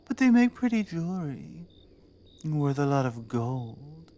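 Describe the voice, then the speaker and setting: A woman playing a proud, battle-hardened orc queen in a scripted ASMR roleplay, speaking deliberately with commanding, pointed rhetorical phrasing. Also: deep voice, big pitch swings, warm tone. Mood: earnest, persuasive.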